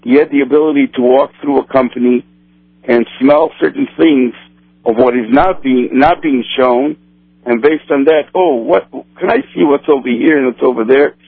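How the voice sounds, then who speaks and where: A man's voice: mid-range voice, creaky, husky, dry recording. Guy from brazil, portuguese first language, talking over a tinny phone line, keeps restarting his sentences. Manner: halting, many restarts and fillers. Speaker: kosher supervisor rabbi. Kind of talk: radio interview answers.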